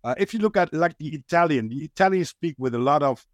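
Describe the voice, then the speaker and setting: A man's voice: on the high side, lively intonation, no room sound, clean studio sound. A man from the Netherlands with a Dutch accent, hosting a casual chat, often searching for words and restarting. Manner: halting, many restarts and fillers, searches for words. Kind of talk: casual conversation.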